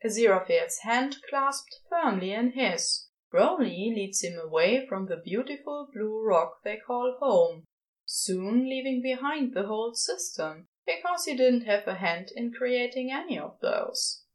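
A woman reading a fan fiction story aloud as expressive scripted narration. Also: fairly high pitch, a little slow, poor audio. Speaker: podfic reader.

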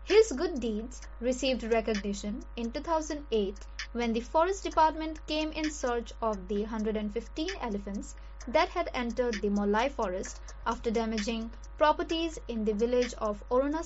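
A woman's voice: a little high, expressive, moderate pace. A woman with an Indian accent co-hosting a radio show and presenting information. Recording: dull audio, faint background noise.

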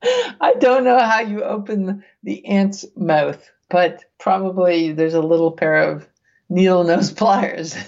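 Creaky-voiced woman, bemused and conversational. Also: lowish voice, expressive, takes it slowly, darkish tone, dull audio. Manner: conversational, bemused, thinking aloud. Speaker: guest reader reacting to research papers. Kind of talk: dramatic reading with casual asides.

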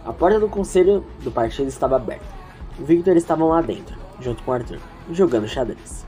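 A woman's voice: sits low, lively intonation, brisk, warm tone, faint music behind. A woman narrating an audio story informally, with chatty, reflective asides addressed to the listeners. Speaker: narrator and host.

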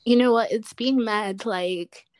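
A woman's voice: fairly high pitch, slow. A young woman from Zimbabwe, a guest on a casual podcast, who talks chattily and fills her sentences with "like.". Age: young adult. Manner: chatty, says "like" constantly. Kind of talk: casual interview.

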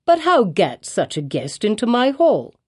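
A woman's voice: medium pitch, huge pitch swings, unhurried, husky, pitched above the performer's own voice, full character voice. A woman voicing a stern, pompous elderly male colonial governor in archaic speech. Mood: surprised.

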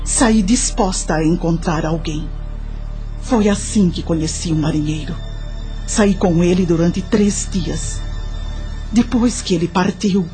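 A woman acting as a widowed mother in a radio drama, with a mellow, fairly low voice. She tells her life story in a measured, confiding way, full of gratitude and remorse, over clear background music. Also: lightly acted. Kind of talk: dramatic first-person radio drama narration.

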